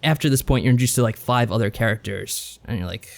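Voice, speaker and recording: Man chatting casually, mid-pitched voice. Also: fast talker, creaky. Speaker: podcast co-host. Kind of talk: casual chat recapping a visual novel.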